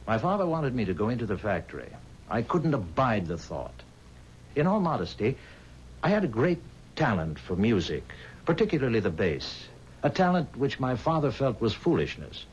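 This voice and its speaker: A man's voice: mid-range voice, big pitch swings, moderate pace, lightly acted. Actor as haunted, pleading man, swooping delivery. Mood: earnest, pleading. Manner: earnest, dramatic, formal. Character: haunted, pleading musician bound to the devil. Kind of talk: 1970s radio drama acting. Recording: dull, hissy 1970s radio recording.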